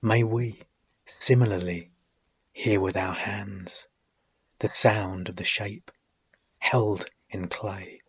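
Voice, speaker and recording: A man giving a solemn poetry reading, deliberate and measured, with dramatic phrasing, long pauses and husky, breathy phrase endings. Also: lowish voice, no room sound, muffled recording. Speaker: poet reading own work.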